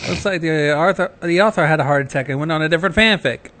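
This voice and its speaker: A man's voice: on the high side, fast talker, bright, forceful tone. A man co-hosting a livestream, chatting casually and cutting in with joking remarks. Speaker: livestream co-host. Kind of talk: casual chat, joking interjections.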